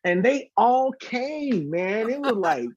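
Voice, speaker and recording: A man's voice: extremely high pitch, sing-song, takes it slowly, darkish tone, husky, no echo, muffled recording. A man telling stories casually as an interview guest, animatedly acting out the dialogue he quotes. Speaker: singer and former radio host. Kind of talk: casual storytelling.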